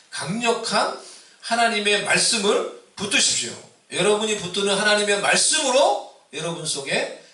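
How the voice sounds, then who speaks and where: Male pastor from Seoul, Easter sermon, ringing bright tone, distant mic in an echoey church hall. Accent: Seoul Korean with a mix of Busan dialect. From Seoul, Korea. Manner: rises loud and high on exhortations, asks the congregation questions.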